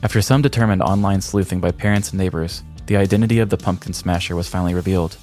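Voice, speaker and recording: A man's voice: low-pitched, fast, darkish tone, faint music behind. A man co-hosting a podcast, speaking in a storytelling and conversational style. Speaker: podcast co-host. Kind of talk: scripted story narration and casual banter.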